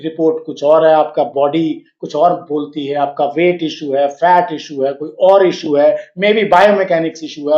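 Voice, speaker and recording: A man with a fairly high voice gives health coaching in Hindi, slipping into English phrases and asking rhetorical questions along the way. The sound is roomy and slightly muffled, like a lo-fi recording in an echoey room. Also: a little mellow. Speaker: fitness and wellness coach. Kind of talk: health advice talk to camera. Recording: roomy echo, dull lo-fi sound.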